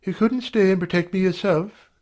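Audiobook role: male reader as lovelorn married woman, high voice, sarcastic and abrupt. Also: fairly even intonation, normal speed. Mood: quietly sarcastic. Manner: abrupt, pointed, quietly sarcastic. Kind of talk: audiobook character dialogue.

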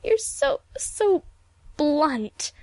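A woman voicing a sly schoolgirl in a storybook reading, with a wheedling, halting manner that leans toward pleading. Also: very high voice, monotone, darkish tone, dry recording, pitched above the performer's own voice. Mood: sobbing, defensive. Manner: wheedling, stammering, sniffling. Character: sly schoolgirl, falsely gentle, crumpling into tearful pleading.

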